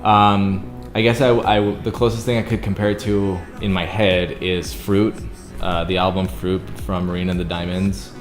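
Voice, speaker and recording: Young man, very low baritone, chatty and earnest, roomy echo, faint music behind. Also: flat delivery, normal speed. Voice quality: very deep baritone voice. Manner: chatty, earnest, many "like" fillers. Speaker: YouTuber and singer. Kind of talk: casual vlog talk to viewers.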